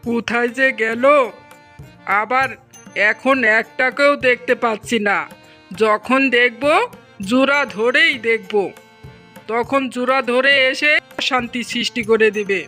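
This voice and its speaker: A man's voice: very high-pitched, steady tone, a little slow, pauses often, bright, forceful tone, soft background music, exaggerated voice. A man voicing an exasperated, put-upon village fisherman who complains and scolds in a Bengali fairy tale cartoon. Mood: irritated. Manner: complaining, scolding, plain rustic speech.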